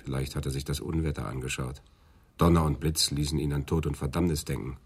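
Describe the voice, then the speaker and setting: A dryly dismissive police detective in a radio drama. The voice is extremely deep, with a husky edge, and the delivery is matter-of-fact. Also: male voice, steady tone, a little fast, heavily acted. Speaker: actor in a radio crime drama. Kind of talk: German radio play acting, office dialogue.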